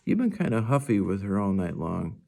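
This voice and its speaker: A man voicing a gentle, playful father who reassures and coaxes his young son in a storybook. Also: fairly low pitch, very animated, moderate pace, vocal fry. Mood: reassuring.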